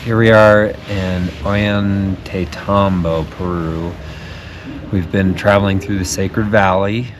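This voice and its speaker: A man with a fairly low voice talks casually and reflectively, as a travel vlogger would. Clear ambient noise sits behind him. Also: fairly even intonation, slow pace. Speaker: travel vlog host, nonprofit representative. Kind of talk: casual reflective talk to camera.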